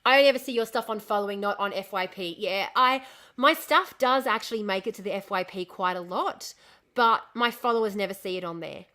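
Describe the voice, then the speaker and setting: A woman's voice: medium pitch, fairly quick, pushed and bright. A woman hosting a casual livestream, losing her voice from an illness, rambling, swearing often and answering chat comments. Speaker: livestream host.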